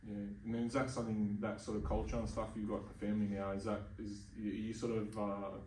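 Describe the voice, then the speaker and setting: A man interviewing a guest, relaxed and rambling, with lots of fillers. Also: on the low side, fast talker, reverberant, recorded from a distance, slight background noise, rough recording. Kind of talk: casual interview chat.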